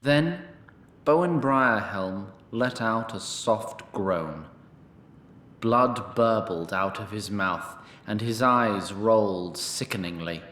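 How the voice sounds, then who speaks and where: A man narrating a scripted fantasy story in a measured, formal storytelling style. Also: lowish voice, a bit bright, reverberant, a little hiss or hum. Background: music.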